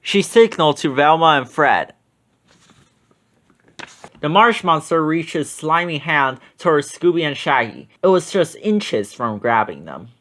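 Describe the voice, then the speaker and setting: A reader narrating a children's story aloud in an expressive read-aloud style. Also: mid-range voice, unhurried.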